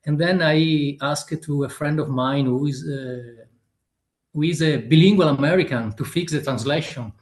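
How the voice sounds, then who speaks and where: Italian guy in his 50s, fairly high voice, lots of uh and pauses while he looks for words, roomy echo. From Italy. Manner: halting, frequent uh fillers, searching for words. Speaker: author guest. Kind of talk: interview answers.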